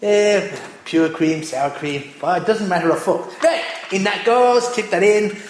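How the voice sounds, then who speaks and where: A man's voice: high voice, unhurried. A man playing a crude, foul-mouthed Irish cook who rants and swears through a cooking parody, in a put-on Irish accent. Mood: grumbling rant. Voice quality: constant swearing, gruff rants. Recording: very echoey room.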